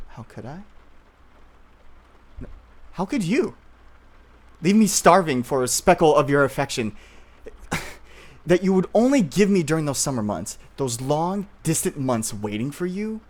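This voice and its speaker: A man playing an obsessive, tender but possessive childhood-friend boyfriend in an ASMR roleplay, speaking softly and hesitantly with nervous pauses. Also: mid-range voice, lightly acted. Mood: wounded, desperate.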